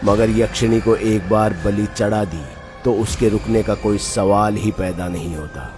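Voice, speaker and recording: A man's voice: on the low side, steady tone, measured pace. A man narrating a scripted horror story in a dramatic storytelling style. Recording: loud music behind the voice. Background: music.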